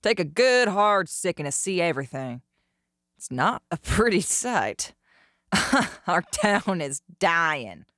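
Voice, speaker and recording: A woman's voice: on the low side, very animated, takes it slowly, a bit bright, dry recording, clean studio sound, full character voice. A woman playing a sardonic, trigger-happy outlaw in a western audio drama, with a wry drawl. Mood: grim, determined.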